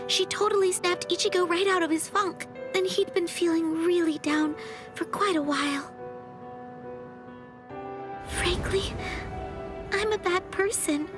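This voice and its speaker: A woman voicing a sweet, insecure teenage schoolgirl in an anime game, speaking hesitantly and earnestly. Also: very high-pitched. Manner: hesitant, earnest, self-deprecating. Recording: music behind the voice.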